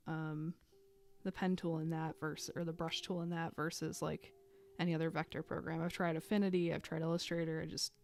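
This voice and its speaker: A woman chatting casually while she draws on a live stream, thinking aloud with fillers and little laughs, a bit hoarse as she loses her voice. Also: deep voice, a little mellow. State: losing her voice.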